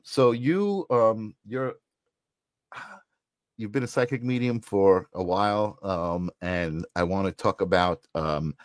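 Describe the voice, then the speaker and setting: A host asking questions in a relaxed interview, with soft encouraging murmurs while the guest talks. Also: low voice, no room sound, clean studio sound. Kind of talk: casual interview chat.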